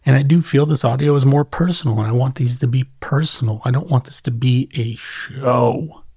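A man's voice: medium pitch, moderate pace, mellow, husky, no room sound, clean studio sound. A middle-aged man hosting a solo podcast, talking casually and reflectively as he thinks aloud and corrects himself along the way. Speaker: podcast host.

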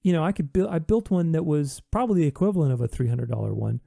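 A man's voice: a little high, animated, fast talker, vocal fry, mellow, dry recording, clean studio sound. A man hosting a podcast, talking earnestly in a reflective solo monologue, with many restarts. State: recovering from voice loss.

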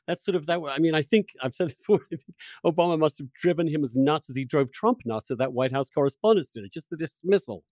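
A man's voice: a little high, lively intonation, quick pace, darkish tone, dry recording. An American man hosting a casual political interview, talking in a discursive, opinionated, searching way. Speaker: interviewer, host.

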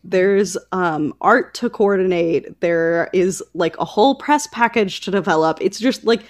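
A woman's voice: fairly low pitch, measured pace. A woman hosting a podcast in a casual, conversational style, informal and full of asides. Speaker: podcast host. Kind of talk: casual conversational book chat.

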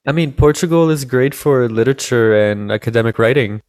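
A woman with an extremely low voice chats casually on a podcast with friends. She jumps in often with quick, eager remarks. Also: darkish tone, no echo, studio quality. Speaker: podcast co-host. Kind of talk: casual chat.

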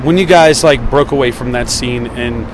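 A man's voice: mid-range voice, normal speed, background noise. A man of thirty hosting a podcast, asking questions in a loose, chatty, joking way. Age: 30s. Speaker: podcast host. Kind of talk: casual interview chat.

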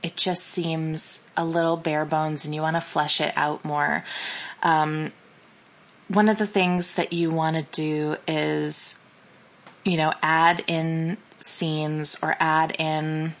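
A woman co-hosts a podcast in a casual conversational tone, her voice sitting notably low. She works through her explanations thoughtfully, often circling back and restarting her phrases. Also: little pitch movement, slow pace. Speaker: podcast co-host.

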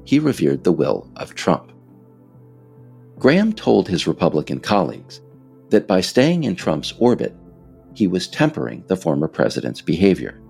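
An American man reading scripted political narration in a measured, emphatic, explanatory way. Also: deep voice, huge pitch swings, creaky, warm tone, breathy edge, faint music behind. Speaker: author narrating his audiobook episode.

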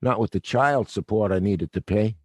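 An actor playing a middle-aged male theater night watchman who is gruff but kind, with a wry, world-weary, fatherly way of talking. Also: lowish voice, moderate pace, dry recording, studio quality. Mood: confiding. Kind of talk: audio play acting.

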